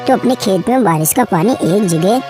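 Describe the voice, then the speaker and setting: A woman's voice: mid-range voice, expressive, moderate pace, music in the background, close to an everyday voice. A woman voicing a trusting, hardworking male farmer, earnest and obliging, in a cartoon moral story. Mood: earnest, practical.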